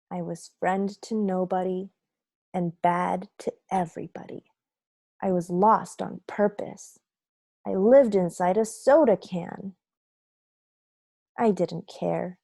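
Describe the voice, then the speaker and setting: Woman as a slow-talking, grumpy storybook seed. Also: on the low side, vocal fry, warm tone, dry recording, studio quality, heavily acted. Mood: gloomy, brooding. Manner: dramatic, deliberate, confessional storytelling. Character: grumpy, remorseful bad sunflower seed telling his story. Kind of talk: children's picture book read aloud.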